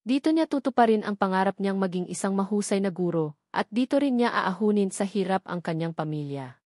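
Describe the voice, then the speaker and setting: A woman's voice: mid-range voice, animated, a little fast, darkish tone, no echo, clean studio sound. A woman reading a scripted story as a dramatic narrator.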